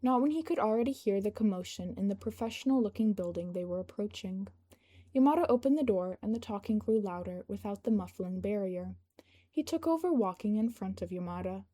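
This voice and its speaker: Female narrator for fan fiction, mellow tone, reading from a script. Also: mid-range voice, a little fast.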